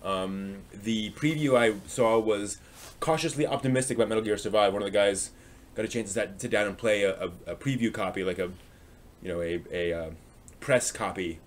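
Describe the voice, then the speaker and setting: A man hosting a casual livestream, rambling and thinking aloud as he chats with viewers in a halting way. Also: lowish voice. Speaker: live stream host. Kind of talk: casual livestream chat, answering viewers' comments.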